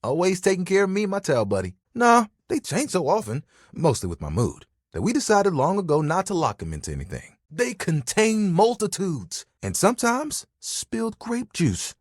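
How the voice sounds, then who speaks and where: A man's voice: high-pitched, wildly swooping pitch, moderate pace, no echo, studio quality, full character voice. A man voicing a young, easygoing, sensitive living towel in a video game, talking casually and earnestly with some hesitant, theatrical turns. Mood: enthusiastic, crafty. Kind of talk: video game character voice acting.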